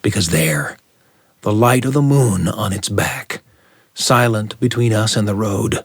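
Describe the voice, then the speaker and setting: A man telling a story as a radio host, with deliberate, suspenseful phrasing and dramatic repetition. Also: lowish voice, husky, dry recording, clean studio sound.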